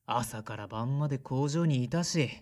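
A man's voice: mid-range voice, a little slow, darkish tone, close to an everyday voice. Actor as a brusque, sullen man in his thirties. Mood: subdued, reflective. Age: 30s. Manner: casual, brusque, introspective. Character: sullen, blunt son of a weaving family, mid-thirties. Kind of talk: audio drama narration and dialogue.

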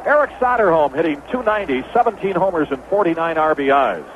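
A man's voice: fairly high pitch, animated, fast. An American man calling a baseball game as a play-by-play announcer in 1970s radio style. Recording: dull, noisy old AM radio broadcast.

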